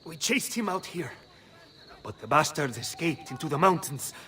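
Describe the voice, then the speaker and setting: An actor playing a rough Italian soldier in a dramatic video game scene. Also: male voice, a little high, animated, normal speed, a bit bright. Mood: urgent.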